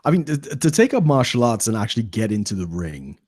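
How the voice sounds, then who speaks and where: A man's voice: on the low side, very animated, a little fast, a little mellow, no room sound. Host: man in his thirties, dry close mic, rambling friendly questions. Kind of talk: casual interview. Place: small room at home. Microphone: studio mic on boom arm, headphones.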